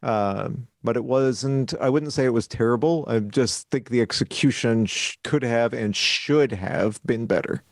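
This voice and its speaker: A man co-hosting a podcast, giving a measured review with dry humor. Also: medium pitch, creaky, no room sound. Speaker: podcast co-host.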